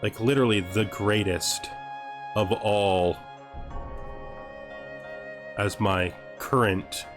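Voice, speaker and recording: A man's voice: lowish voice, fairly even intonation, slow, long pauses, music in the background. A man commentating casually on a video game he plays, thinking aloud and reading text from the screen. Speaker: gameplay streamer.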